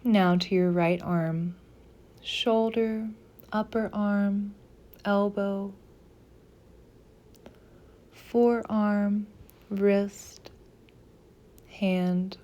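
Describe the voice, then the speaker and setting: Slow, soft, mellow-voiced woman guiding meditation. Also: mid-range voice, steady tone, highs cut off. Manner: soft, gentle, deliberate phrasing with pauses. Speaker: meditation guide. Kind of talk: guided meditation, scripted soothing instruction.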